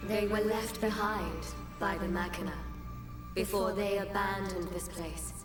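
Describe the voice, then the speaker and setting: An actress playing a serene, ancient goddess in a video game, telling of the past in measured, formal, grave phrases. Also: female voice, medium pitch, steady tone, lightly acted. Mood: solemn. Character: serene, solemn ancient goddess, soul of a world. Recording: echoey, distant, under prominent music.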